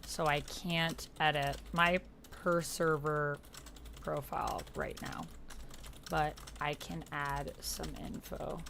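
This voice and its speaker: A woman's voice: sits low, fairly bright tone, a little hiss or hum. A woman hosting a roleplay livestream, chatting casually, muttering to herself and reading typed posts aloud. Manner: chatty, muttering to self while typing. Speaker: host of a live tabletop roleplay stream. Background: computer keyboard typing.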